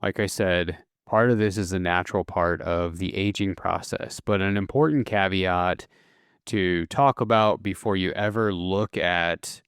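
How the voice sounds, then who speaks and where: A man hosting a solo podcast, explaining at a relaxed pace with casual fillers and self-corrections. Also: sits low, unhurried, clean tone, no echo, clean studio sound. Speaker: podcast host.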